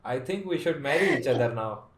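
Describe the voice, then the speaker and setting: A man's voice: medium pitch, lively intonation, brisk, crowd noise. A man from Pakistan, hosting casual flirty video chats with strangers and teasing them with cheesy pickup lines. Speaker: YouTuber host chatting with strangers on video chat. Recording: echoey room with loud background music.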